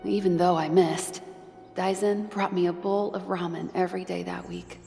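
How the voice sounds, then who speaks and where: A woman's voice: on the low side, level tone, moderate pace, vocal fry, dark tone. A woman playing a wounded, proud and tender motherly fighter in an anime audio drama. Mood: solemn, resolute. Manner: solemn, emotional delivery. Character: wounded, tough, motherly squad commander's comrade, proud and tender. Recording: music under the voice.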